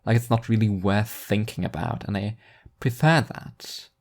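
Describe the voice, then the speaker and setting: A man's voice: on the low side, moderate pace, darkish tone. A man reading first-person fiction narration in an introspective, wry manner.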